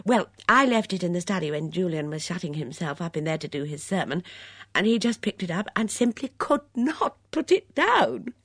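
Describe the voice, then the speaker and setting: A woman's voice: on the low side, sing-song, moderate pace, husky, no echo, studio quality, exaggerated voice. A woman voicing a gushing, chatty vicar's wife in an audiobook, breathless and rambling in manner. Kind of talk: audiobook character acting.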